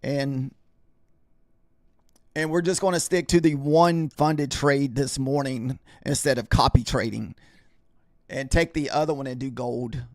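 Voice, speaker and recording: A man hosting a trading live stream, chatting loosely with viewers and clearing his throat, his voice a little scratchy from talking all week. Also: mid-range voice, steady tone, a little slow, pauses often, no room sound, studio quality. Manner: rambling, chatty, talks to viewers in chat. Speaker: trading livestream host. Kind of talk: casual live-stream chat.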